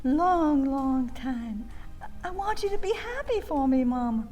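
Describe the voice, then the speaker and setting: Brief: a woman playing a giddy lovestruck girl, high and lively, gushing. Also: a little slow, soft background music. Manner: gushing, swooning. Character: lovestruck young Southern daughter.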